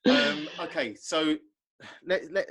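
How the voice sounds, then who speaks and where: A man's voice: on the high side, animated, slow pace, a bit bright, slightly rough. A middle-aged man with an English accent, hosting a loose, jokey podcast interview with many ums. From England. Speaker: podcast host. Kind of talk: casual interview over video call. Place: plain home room. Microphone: large podcast mic on arm.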